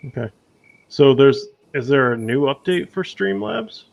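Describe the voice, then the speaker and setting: A man's voice: mid-range voice, animated, a little slow, vocal fry. A man in casual chat, hesitantly reading out settings on a USB mic. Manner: hesitant, reads settings aloud.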